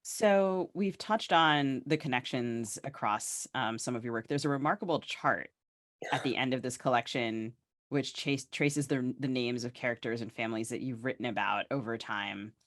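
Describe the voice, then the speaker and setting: A man's voice: medium pitch, moderate pace, fairly bright tone, dry recording, studio quality. A man co-hosting a literary podcast, putting questions in a conversational interview style. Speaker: podcast co-host.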